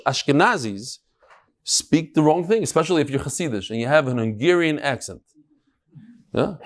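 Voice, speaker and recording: A man teaching an informal religious class in a fairly high voice. His pitch swings widely as he talks, with emphatic, conversational delivery and frequent rhetorical questions. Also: a little mellow. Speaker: rabbi giving a daily Talmud class. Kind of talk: informal Talmud lecture to a live class.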